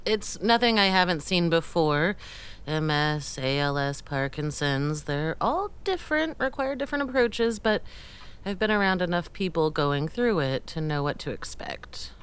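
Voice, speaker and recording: A woman acting a caring, weary, stoical caregiver in naturalistic drama dialogue, gentle and measured, using endearments. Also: fairly low pitch, expressive, clean tone, close to an everyday voice.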